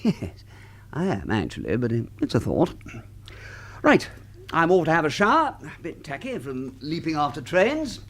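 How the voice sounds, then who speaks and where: An actor playing a jovial, flippant British husband in a radio drama, with breezy, jokey, old-fashioned turns of phrase. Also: male voice, a little high, huge pitch swings, normal speed, exaggerated voice. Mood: musing, joking. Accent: British English. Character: jovial, flippant middle-class British husband, joking electronics man.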